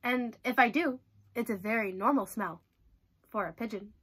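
A woman's voice: a little high, normal speed, a bit bright, breathy edge. A woman voicing a stubborn, dramatic, whiny cartoon pigeon in a children's storybook read-aloud. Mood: dismissive. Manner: whiny, exaggerated, protesting.

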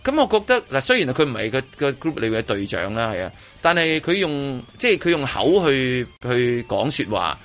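A man's voice: medium pitch, very animated, a little fast, hardly any pauses, fairly bright tone. A middle-aged man with a Hong Kong accent chatting casually as a radio host, rambling and repeating his phrases as he goes. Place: radio studio.

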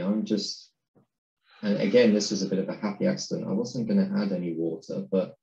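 A low, gravelly male voice with a dark, mellow tone, talking through his painting as he goes. The sound is a little dull and roomy, as if from a desk mic in a small room. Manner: relaxed, thinking aloud while painting. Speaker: digital art tutor. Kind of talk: live-stream painting commentary.

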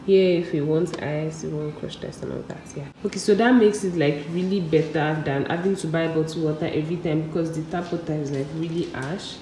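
A young woman chats her way through an empty apartment. Her voice sits low. She is recorded on a handheld phone in bare rooms that ring with echo, with steady noise behind her. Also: brisk, hardly any pauses, recorded from a distance. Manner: chatty, talks while walking through rooms. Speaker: vlogger. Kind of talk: casual vlog narration. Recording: echoey bare room with background noise.

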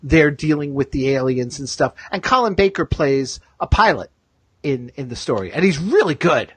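A man's voice: a little high, big pitch swings, normal speed, breathy edge, no echo. A man hosting a casual fan discussion, circling around his questions with asides. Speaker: host of a TV fan discussion show. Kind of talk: casual chat.

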